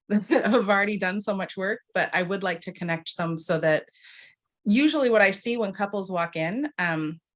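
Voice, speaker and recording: A woman's voice: medium pitch, moderate pace. Woman therapist explaining her approach. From Massachusetts, United States. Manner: clear, explanatory, light laughs. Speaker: marriage and family therapist, guest. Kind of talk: video call interview. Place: home in Dallas, Texas.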